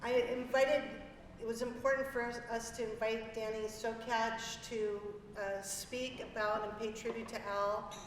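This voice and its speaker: A woman hosting a memorial lecture, introducing speakers in a gentle, slightly hesitant way. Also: on the high side, little pitch movement, a little slow. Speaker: event host. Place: lecture hall. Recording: echoey hall from far off with noise.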